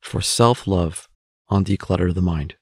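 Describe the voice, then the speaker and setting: A man leading a guided meditation as an instructor, speaking in measured short phrases with pauses between them. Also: sits low, a little mellow, slightly rough, no echo, clean studio sound.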